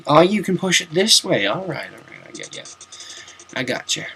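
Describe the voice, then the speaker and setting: A man's voice: on the high side, big pitch swings, normal speed, gravelly, fairly bright tone, soft background music, poor audio. A young man giving casual, chatty commentary while playing through a game, with self-mocking jokes and asides. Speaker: let's play commentator.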